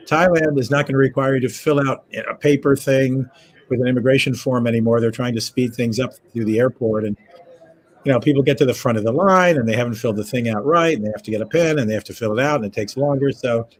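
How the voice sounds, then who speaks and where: A man's voice: medium pitch, a little mellow, breathy edge. Man with a froggy head cold. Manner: chatty, rambling with fillers. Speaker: host. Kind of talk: casual travel news chat. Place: his home. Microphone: video call.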